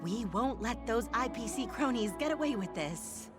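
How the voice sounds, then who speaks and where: An actress voicing an earnest, deferential young security officer in a video game, with an eager manner. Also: female voice, a little high, lively intonation, normal speed, over loud music, close to an everyday voice. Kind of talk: scripted video game dialogue.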